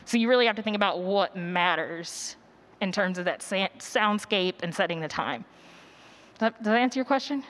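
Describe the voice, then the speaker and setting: A woman's voice: mid-range voice, animated, normal speed, fairly bright tone. Woman presenting an informal workshop. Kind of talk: informal workshop teaching with audience questions. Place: conference session room with an audience.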